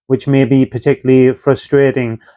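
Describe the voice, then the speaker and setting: A man's voice: mid-range voice, level tone, a little fast, dark tone, no room sound. A man giving a casual tarot reading, talking conversationally with frequent fillers while getting over a chest infection. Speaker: tarot reader.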